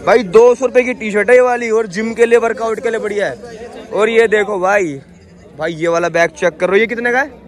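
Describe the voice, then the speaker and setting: A young man vlogging from a street market on his phone, chatty and excited, then talking at home. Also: high voice, a little fast, some chatter behind. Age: young adult. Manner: excited, chatty, keeps saying "bhai". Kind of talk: casual market vlog. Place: crowded outdoor street market, later a bedroom. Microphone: phone held at arm's length.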